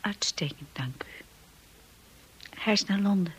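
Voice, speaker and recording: An actress playing a shy, insecure young wife in a radio drama, earnest and hesitant. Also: female voice, mid-range voice, a little slow. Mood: polite, uneasy. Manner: hesitant, breathy, apologetic.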